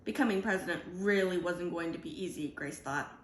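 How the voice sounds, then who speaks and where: A woman reading a children's picture book aloud in an animated, deliberate storytelling manner. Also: lowish voice, echoey room, a little hiss or hum. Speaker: storybook reader.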